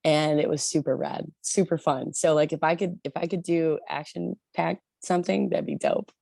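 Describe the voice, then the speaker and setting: Woman, low gravelly voice, rock singer guest, casual rambling chat, dry close sound. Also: fairly even intonation, normal speed, a little mellow, dry recording. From Detroit area, USA. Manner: rambling, many restarts and fillers.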